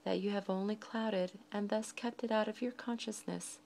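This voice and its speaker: A woman leads a guided meditation in a soft, breathy voice, speaking slowly and deliberately in short phrases with frequent pauses. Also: medium pitch, steady tone, a little hiss or hum.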